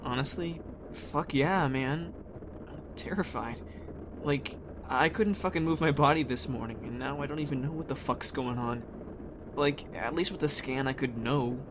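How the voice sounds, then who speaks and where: A young man playing a blunt, troubled teenage boy in an audio drama, casual and halting. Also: medium pitch, close to an everyday voice. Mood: scared, frustrated. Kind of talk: fan audio drama acting. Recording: noisy ambience behind the voice.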